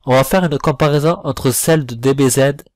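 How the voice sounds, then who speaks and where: A man's voice: medium pitch, fairly quick, a little mellow, no room sound. A man reviewing in a voice-over, giving informal critical commentary in a blunt, slangy, complaining manner. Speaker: video reviewer. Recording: voice-over with music behind.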